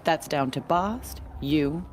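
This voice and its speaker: Low-voiced confident rival. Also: female voice, takes it slowly. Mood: cool, appraising. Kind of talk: cinematic video game acting.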